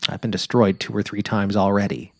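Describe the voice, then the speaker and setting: A man's voice: lowish voice, flat delivery, fairly quick, creaky, darkish tone, no room sound, clean studio sound. A man voicing an affectionate middle-aged wife on safari, eager and tender, in a dramatic literary reading. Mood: pleading. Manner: cheerful, eager, tender, imploring.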